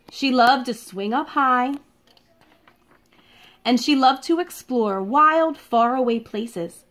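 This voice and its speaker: High-pitched, expressive woman reading to children. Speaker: elementary school teacher reading a picture book aloud. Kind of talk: read-aloud for young children. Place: living room at home.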